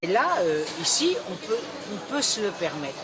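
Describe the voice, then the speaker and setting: An older woman chatting casually for a documentary report, talkative and lively. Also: medium pitch, moderate pace, crowd noise. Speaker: retiree being interviewed. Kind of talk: casual chat.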